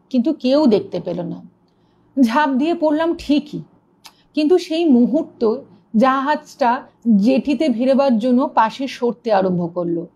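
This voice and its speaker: A woman with a fairly high voice narrates a ghost story as a storyteller. Her reading is dramatic and expressive, keeping up the suspense as she goes.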